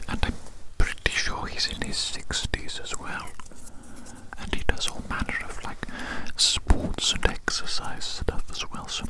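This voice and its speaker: Whispering ASMR roleplay host, breathy and close. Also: poor audio. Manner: slow, lingering, repeats phrases soothingly. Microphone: very close microphone.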